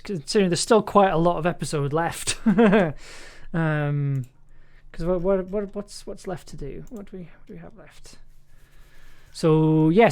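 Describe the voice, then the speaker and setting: A streamer talks casually through an audio edit in a medium-pitched voice. The delivery is halting and circles back over words, with some keyboard typing behind it. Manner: halting, repeats words and phrases. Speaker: streamer, audio drama producer and editor. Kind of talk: casual livestream commentary while editing.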